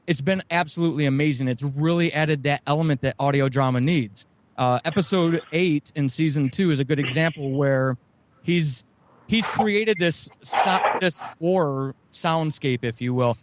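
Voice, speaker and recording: A man's voice: on the high side, normal speed. A man speaking as an interview guest, earnestly and emphatically promoting audio shows with effusive enthusiasm. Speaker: guest, audio drama producer.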